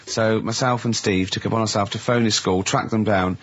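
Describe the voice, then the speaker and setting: A man's voice: on the low side, steady tone, a little fast, slight background noise. A man with a southern English accent, hosting a casual comedy radio show, teasing and explaining things in a conversational way. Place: radio studio. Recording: muffled lo-fi radio recording.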